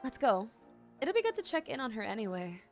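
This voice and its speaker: A woman streamer giving casual, expressive and gushing reactions while playing a game. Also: on the high side, a little fast. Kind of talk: casual gaming reaction commentary. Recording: game music behind.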